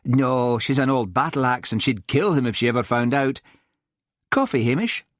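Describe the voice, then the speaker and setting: A man's voice: medium pitch, expressive, normal speed, no room sound, studio quality, pitched above the performer's own voice, close to an everyday voice. A man voicing a gossipy, blunt hotel manager with a Scottish accent. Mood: friendly.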